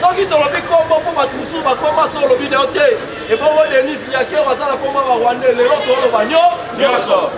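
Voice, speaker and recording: A Congolese man delivers a street rally speech at an extremely high pitch, pushing questions and slogans at his listeners. His words echo, with a loud crowd all around. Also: steady tone, fast, hardly any pauses. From Democratic Republic of the Congo. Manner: emphatic, repetitive, prompting the crowd with questions and slogans. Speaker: political activist and street orator. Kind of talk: rallying political street speech with call and response. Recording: noisy outdoor crowd, roomy echoing sound, traffic. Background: loud crowd and vehicle noise.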